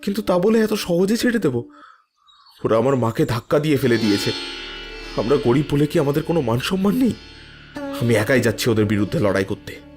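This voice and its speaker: A man acting as a desperate, poor young villager in a cartoon, speaking with urgent, rapid emotion. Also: medium pitch, very animated, a little mellow, faint music behind, natural voice. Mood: indignant. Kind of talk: cartoon story dialogue.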